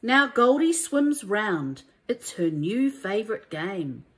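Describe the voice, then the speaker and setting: Slow, lively New woman storyteller. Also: mid-range voice. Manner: deliberate, emphatic storytelling for young children. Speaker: primary school teacher reading a story to young pupils. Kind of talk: expressive children's storybook read-aloud.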